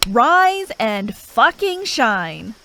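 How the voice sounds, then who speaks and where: Sardonic female fantasy assassin woman actor, gruff and unhurried, addressing the listener in ASMR roleplay, faint cricket ambience behind. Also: fairly high pitch, sing-song, a little hiss or hum, heavily acted. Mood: impatient, sarcastic. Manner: sarcastic, drawling, sweary, with sighs.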